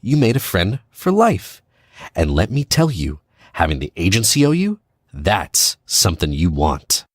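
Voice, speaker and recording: A man playing a cocky, needling American spy in an audio drama, casual and clipped, with quiet menace. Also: low-pitched, wildly swooping pitch, a little slow, no room sound, clean studio sound, full character voice. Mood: menacing.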